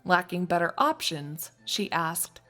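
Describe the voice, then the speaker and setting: A woman reading scripted story narration in an expressive, wry storytelling manner. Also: on the low side, a little slow, soft background music. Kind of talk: scripted fan fiction narration.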